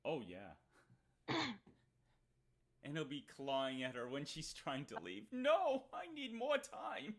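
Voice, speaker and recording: A woman informally reading a chat log out loud, play-acting the lines with mock sweetness. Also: sits low, wildly swooping pitch, slow pace, long pauses. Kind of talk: informal read-aloud of a chat log with asides.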